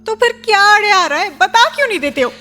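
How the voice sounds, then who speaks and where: High-voiced, hurt young wife. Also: female voice, expressive, fast, bright, forceful tone, soft background music, exaggerated voice. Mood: demanding, hurt. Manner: intense, confrontational, pleading, emotionally raw. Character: hurt, insistent young wife, once widowed, pleading for love. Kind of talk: Hindi radio drama acting.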